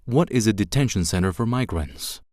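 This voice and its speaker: A man's voice: fairly low pitch, expressive, normal speed, a little mellow. A man reading scripted narration for a documentary. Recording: guitar music under the voice.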